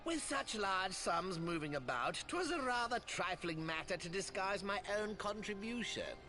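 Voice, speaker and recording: An actor voicing a smug, scheming wealthy merchant lord in a video game, with pompous, mock-courteous, drawn-out delivery. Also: male voice, high-pitched, animated. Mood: smug, sly. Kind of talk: video game cutscene acting. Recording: game audio with music.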